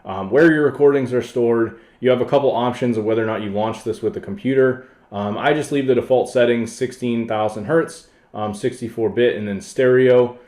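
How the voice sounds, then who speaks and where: A man hosting a casual software tutorial, explaining things informally and off the cuff. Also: mid-range voice, brisk, few pauses. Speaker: tutorial host. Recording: roomy, echoey voice-over.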